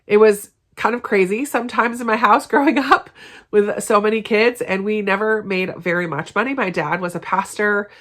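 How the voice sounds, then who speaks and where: A congested woman talks straight to her viewers in a relaxed, chatty vlog. Her voice sits at a medium pitch and sounds stuffy from a cold. She keeps things upbeat and slips in small laughs. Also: normal speed. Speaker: BookTube channel host.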